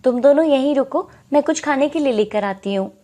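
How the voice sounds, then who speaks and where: A woman voicing a bossy, scheming aunt in an animated moral story, with a sharp, commanding manner, over music. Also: high voice, a little fast, slight background noise, voice pushed up. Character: scheming, bossy aunt of two orphaned girls.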